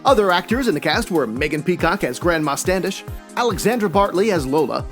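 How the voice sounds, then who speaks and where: High-pitched, lively male credits announcer. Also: a little fast, faint music behind. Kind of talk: scripted announcer credit read.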